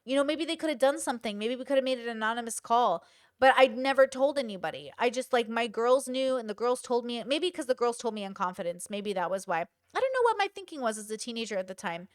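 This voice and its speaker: Young woman host, casual animated commentary, higher voice, dry mic. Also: quick pace, no room sound. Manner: animated, swearing, addresses viewers directly.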